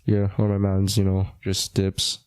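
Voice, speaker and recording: A man's voice: deep voice, monotone, moderate pace, darkish tone, clean tone. A young man in his late teens from Hawaii, co-hosting a casual podcast with joking, slangy banter. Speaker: podcast co-host.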